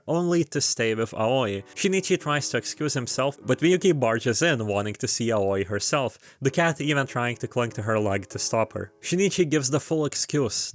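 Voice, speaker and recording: A man narrating a scripted plot recap of a story, with casual, informal asides. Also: medium pitch. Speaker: video creator narrating a game retrospective.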